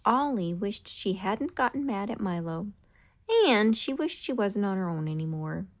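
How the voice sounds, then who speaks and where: A woman narrates a children's storybook in a deliberate, expressive way. Her pitch moves around a lot at a middling height, keeping the telling animated for young listeners. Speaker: storybook reader.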